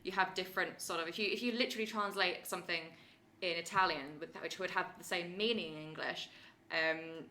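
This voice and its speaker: A woman's voice: on the low side, steady tone, fairly quick, few pauses, bright, forceful tone. A woman guesting on a podcast as a language expert, explaining things informally in plain words with examples. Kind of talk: casual explanatory podcast chat.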